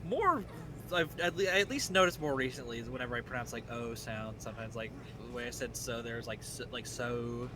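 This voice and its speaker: Male game streamer, faint Midwestern American accent, mid-range voice, loose rambling casual chat over clear background noise. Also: medium pitch, a little fast, hardly any pauses. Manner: rambling, self-interrupting.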